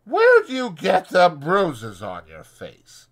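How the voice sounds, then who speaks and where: Man putting on a brassy high-pitched scornful young woman. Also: wildly swooping pitch, takes it slowly, heavily acted. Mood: coy, probing. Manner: contemptuous, brassy, slangy rural American.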